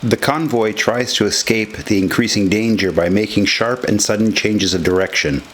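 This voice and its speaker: A man narrates in a scripted documentary style. His voice sits on the low side. Music plays clearly underneath his words throughout. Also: moderate pace.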